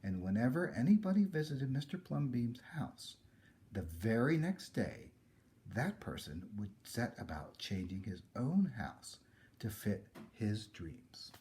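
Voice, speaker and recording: A man reading a children's picture book aloud in an expressive, dramatic storytelling manner. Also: mid-range voice, unhurried.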